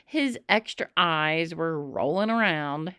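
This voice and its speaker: A woman reading a children's story aloud in an expressive narrating style, with emphatic, dramatic phrasing. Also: sits low, takes it slowly, clean tone, dry recording, clean studio sound. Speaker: storybook reader.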